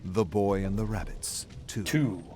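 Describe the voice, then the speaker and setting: A man's voice: lowish voice, a little slow, darkish tone. A man streaming a game, reading lore passages aloud and breaking off to react casually and swear freely. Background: game music.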